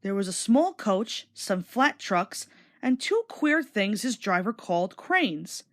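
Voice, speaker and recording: A woman's voice: mid-range voice, very animated, slow, a bit bright. A woman narrating a children's storybook read-along from a script.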